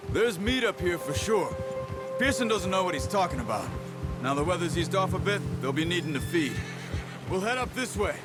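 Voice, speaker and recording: A man playing a calm, stoic outlaw hunter in a western video game, speaking tersely and quietly as he instructs. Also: sits high, normal speed, loud music behind the voice.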